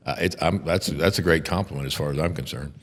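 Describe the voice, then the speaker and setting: An older man with a gravelly voice and a Texan accent, talking bluntly with plenty of cursing and halting restarts on a podcast. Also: rumbling low, a bit bright, in a large hall. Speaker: podcast host, defense attorney. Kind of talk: casual podcast chat.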